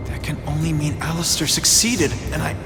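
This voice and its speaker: A man's voice: fairly high pitch, fairly quick, close to an everyday voice. A young American man playing a wisecracking, stubbornly upbeat young superhero in video game cutscenes. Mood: wry, strained. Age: young adult. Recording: reverberant game audio under music.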